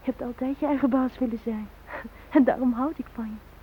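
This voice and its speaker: An actress in a radio drama playing a grieving young woman who speaks haltingly and in anguish to her dead fiancé. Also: on the high side, steady tone, slight background noise, natural voice. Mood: grieving, tender. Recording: dull, lo-fi old radio recording.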